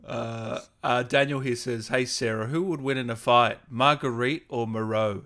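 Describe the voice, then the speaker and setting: A man's voice: medium pitch, fairly even intonation, moderate pace, fairly bright tone. A man chatting casually as he hosts an interview. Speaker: host interviewer.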